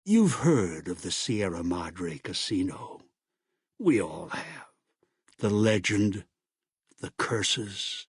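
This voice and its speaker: An actor playing a world-weary narrator of a doomed legend, with a husky, gravelly voice and an ominous, dramatic delivery. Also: male voice, sits low, big pitch swings, no room sound, clean studio sound, full character voice. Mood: ominous, brooding. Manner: slow, ominous. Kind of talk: dramatic scripted game narration.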